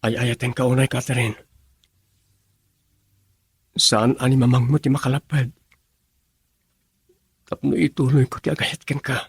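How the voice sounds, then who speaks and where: An actor playing an earnest, lovestruck young student in an Ilocano radio drama. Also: male voice, mid-range voice, fairly even intonation, unhurried, vocal fry, pauses often. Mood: ardent.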